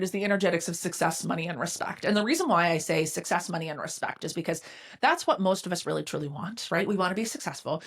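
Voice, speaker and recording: Fast-talking woman in her forties, emphatic. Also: low voice, fairly bright tone. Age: 40s. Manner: emphatic, candid, swears casually, rhetorical "right?". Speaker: podcast host and business mentor. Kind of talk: solo motivational podcast monologue.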